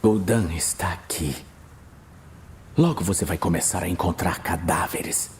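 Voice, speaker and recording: A man playing a weary, earnest male archmage, speaking in a hushed, measured, urgent way in a fantasy audio drama. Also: deep voice, slight background noise, voice pushed down. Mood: grim. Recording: music bed behind voice.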